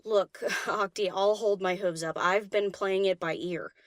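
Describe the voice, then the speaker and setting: A woman's voice: mid-range voice, steady tone, unhurried, dry recording, studio quality, close to an everyday voice. A woman voicing an easygoing young-spirited DJ pony in a fan audiobook, casual, slangy and teasing. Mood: tender, sincere. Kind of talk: fan audiobook character acting.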